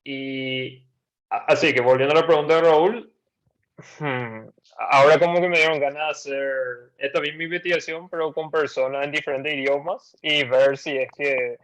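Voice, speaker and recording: A man in his twenties talking reflectively and haltingly as a guest researcher in a casual academic discussion. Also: medium pitch, a bit bright, dry recording, poor audio. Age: 20s. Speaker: guest speaker, psychology researcher.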